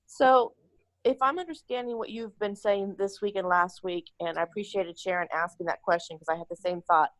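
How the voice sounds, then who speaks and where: A woman's voice: mid-range voice, fairly quick, no room sound, low-quality recording. A woman taking part in a class, asking questions in a polite, earnest, conversational way.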